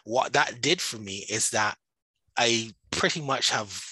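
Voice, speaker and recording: Bright, husky Barbadian man talking casually. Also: medium pitch, measured pace, no room sound, poor audio. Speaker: podcast guest, writer and self-publishing coach. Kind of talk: casual conversational self-promotion.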